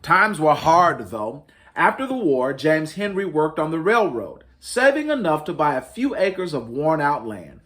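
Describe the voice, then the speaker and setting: A man reads a children's picture book aloud as a story-time host. His voice sits a little high for a man and swings widely in pitch. He stresses key words with dramatic emphasis. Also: sing-song, a little slow, fairly bright tone. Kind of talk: expressive picture book read-aloud for families.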